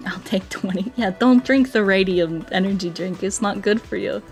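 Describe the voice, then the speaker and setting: Expressive woman chatting over faint music. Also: mid-range voice, moderate pace. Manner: expressive, playful, acts out quoted dialogue. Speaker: game streamer chatting with viewers. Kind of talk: casual chat, storytelling.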